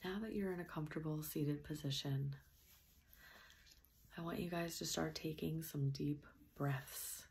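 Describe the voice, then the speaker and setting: Woman, low voice, slow pace, soft gentle meditation guide, breathy near-whisper at times. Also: steady tone. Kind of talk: softly spoken guided meditation.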